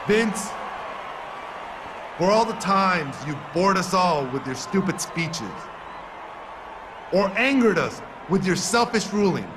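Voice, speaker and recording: A man's voice: high-pitched, animated, takes it slowly, pauses often. A man playing a defiant pro wrestler in a video game, mocking and commanding his boss like a showman on the mic. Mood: mocking, vengeful. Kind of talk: in-ring microphone promo in a wrestling video game. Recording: loud arena crowd noise throughout. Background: roaring crowd.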